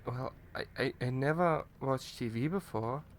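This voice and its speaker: A man's voice: mid-range voice, measured pace, close to an everyday voice. A man playing a shy, clueless young catboy pet in a roleplay audio drama, hesitant and stammering as he talks to the listener. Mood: woozy, bashful. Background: ambient noise, rain.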